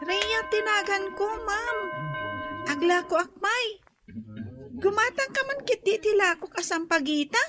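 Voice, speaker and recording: Very high-pitched little Ilocano flower girl. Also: animated, unhurried, music in the background. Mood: eager, cheeky. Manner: childlike, frank, polite. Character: chatty innocent young girl selling jasmine garlands. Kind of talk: Ilocano radio drama acting.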